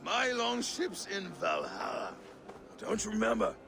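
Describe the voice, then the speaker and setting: A man's voice: sits high, wildly swooping pitch, a little slow, vocal fry, full character voice. An actor playing a big drunken Viking warrior in a video game, weary and wistful beneath his bluster. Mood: maudlin. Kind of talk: video game drama acting.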